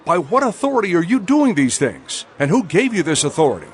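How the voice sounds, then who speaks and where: A man's voice: high voice, very animated, a little fast, some chatter behind. An actor playing a challenging, scheming male temple elder in an audio drama, with a pointed, wary manner.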